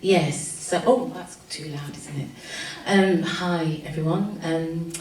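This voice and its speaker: A woman's voice: lowish voice, darkish tone. A woman with a London accent speaking as a panel speaker, reflective and informal, with frequent ums and restarts. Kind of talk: spoken presentation at a panel. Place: event room at a festival panel. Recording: echoey room, distant mic, faint room noise.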